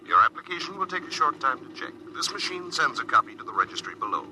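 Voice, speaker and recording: An actor playing a formal, clipped robot clerk in a 1950s radio drama. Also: male voice, on the high side, very animated, fast talker, background noise. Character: prim male robot clerk.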